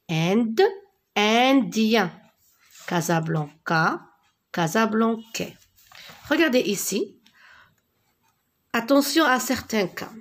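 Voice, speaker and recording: A woman with a Moroccan accent teaching a primary school French lesson, repeating words and spelling out letters one by one. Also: mid-range voice.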